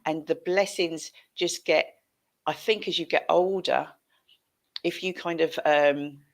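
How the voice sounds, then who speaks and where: A woman's voice: low-pitched, fairly even intonation, takes it slowly. A middle-aged British woman hosting a casual chat on a video call, encouraging and emphatic, repeating phrases for effect. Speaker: show host. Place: home room, indoors. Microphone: headset, video call.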